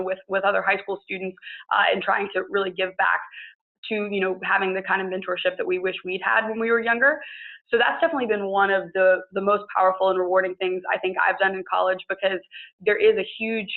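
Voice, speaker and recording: A woman's voice: medium pitch, vocal fry, narrow, tinny audio. A woman in her early twenties with an American accent, speaking as a student panelist in an earnest, fluent, chatty way. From Kentucky, United States. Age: early 20s. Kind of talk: conversational personal introduction at a panel.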